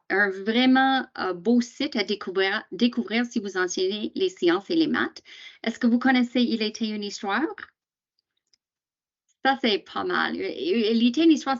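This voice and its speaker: Woman, English as first language, chatty webinar presenter, medium pitch, dull lo-fi video call sound. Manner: chatty, fillers, rhetorical questions to attendees. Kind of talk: screen-sharing webinar presentation.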